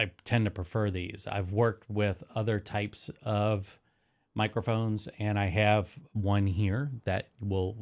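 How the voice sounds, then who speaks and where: A man's voice: fairly low pitch, vocal fry, a little mellow. A man with a nasal voice demonstrating live streaming audio gear in his studio, talking casually into a close dynamic microphone with many fillers and restarts. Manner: rambling, self-correcting, with frequent fillers. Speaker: live streaming tech host. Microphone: close dynamic broadcast microphone.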